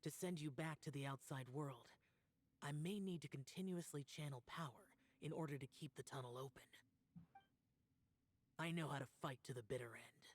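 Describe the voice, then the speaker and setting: Man voicing a husky, solemn guardian warrior. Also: a little high, lightly acted. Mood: resolute. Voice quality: husky, breathy. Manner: solemn, measured, terse. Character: stern, self-sacrificing young male guardian warrior spirit. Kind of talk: video game voice acting.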